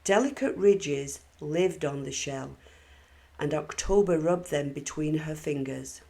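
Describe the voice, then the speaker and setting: A woman reads a children's storybook aloud in a fairly low voice with a creaky edge. She takes her time, phrasing each line deliberately and expressively. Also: warm tone. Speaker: storybook reader.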